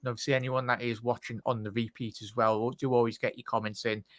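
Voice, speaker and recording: A man's voice: medium pitch, level tone, fast talker, no echo, studio quality. A man hosting a casual live football reaction stream on a video call, chatty and calling everyone mate. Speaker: host.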